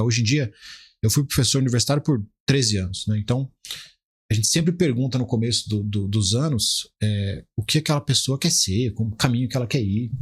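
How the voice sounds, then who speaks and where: A man's voice: medium pitch, breathy edge. A Brazilian man in his forties giving conversational, explanatory interview answers as a podcast guest, often restarting his phrases. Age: 40s. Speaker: podcast guest, criminal defence lawyer.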